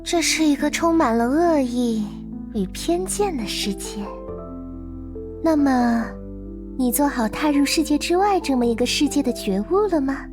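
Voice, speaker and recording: A mysterious, devoted girl from a visual novel. Her voice is high and soft. She speaks earnestly and coaxingly, with music clearly playing underneath. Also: expressive, normal speed. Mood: solemn, devoted. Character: Lilith, mysterious devoted girl from beyond the world. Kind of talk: visual novel game voice acting.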